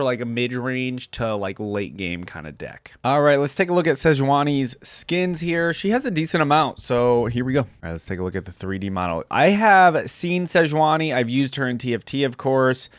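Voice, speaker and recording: A man's voice: mid-range voice, dry recording. Male reaction host: casual, off-the-cuff commentary in an average register, very little room sound.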